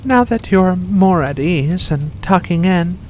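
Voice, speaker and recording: An ancient vampire played by a woman in an ASMR roleplay, speaking very slowly in a lowish register. The manner is silky and genteel, all coaxing endearments over a predatory hunger. A soft layer of ambient noise sits behind the voice. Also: lively intonation. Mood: silky, welcoming. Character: ancient predatory vampire with furry paws, seductive, faux-kindly. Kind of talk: ASMR roleplay addressed to the listener.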